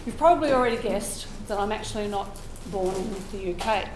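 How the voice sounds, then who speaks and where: A woman with an Australian accent, croaky from a cold, telling an earnest personal story to a live audience and clearing her throat now and then. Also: medium pitch, brisk, echoey room, background noise. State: hoarse from a cold. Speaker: open mic storyteller.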